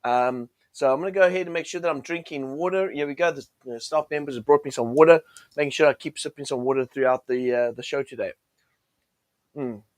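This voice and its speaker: A man with a cold, his voice a little croaky, hosting a chatty forex chart session with lots of fillers and restarts. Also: mid-range voice, brisk, a little mellow, dry recording. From United States. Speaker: forex trading show host. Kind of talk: casual chart commentary.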